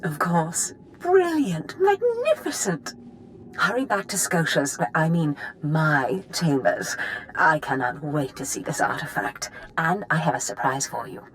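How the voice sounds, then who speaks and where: An actress playing an excitable, scheming Sith master in a video game, gushing theatrically with eagerness. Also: female voice, deep voice, a little slow, a little hiss or hum, poor audio. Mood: eager, gloating.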